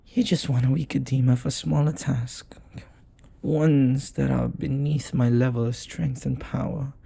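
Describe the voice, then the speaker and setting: Jealous demon boyfriend for roleplay audio, played by a man: mellow, husky, intimate, formal and devoted. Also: medium pitch, fairly even intonation, a little slow, full character voice. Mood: hurt, jealous.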